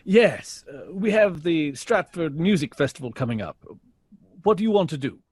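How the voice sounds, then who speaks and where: A man playing a genial, easily excited jazz bandleader in an audio drama, courteous and a little hesitant. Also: on the high side, moderate pace, husky, no room sound.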